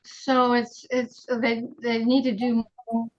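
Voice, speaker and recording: A woman talking casually in a group conversation, heard through dull, low-fidelity audio. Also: monotone, takes it slowly.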